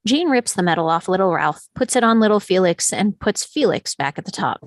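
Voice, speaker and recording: A woman's voice: mid-range voice, animated, a little fast, dry recording. Woman narrator, very dry sound. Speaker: narrator reading stage directions. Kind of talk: scripted narration at a table read.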